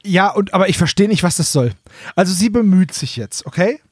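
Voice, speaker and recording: A man's voice: sits high, animated, brisk, husky, dry recording, studio quality. A man co-hosting a casual comedy podcast, emphatic and mock-indignant, repeating himself for effect. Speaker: podcast co-host.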